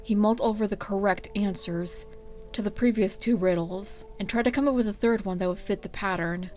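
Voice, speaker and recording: A woman narrates a scripted story at a middle pitch. She reads the prose aloud as a storyteller, with music faintly behind her. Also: brisk, darkish tone.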